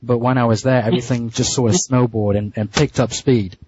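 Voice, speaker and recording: A man being interviewed, telling a story in a casual, halting way with frequent restarts and repeated words. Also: mid-range voice, steady tone, background noise. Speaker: interview guest. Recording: muffled dry lo-fi line.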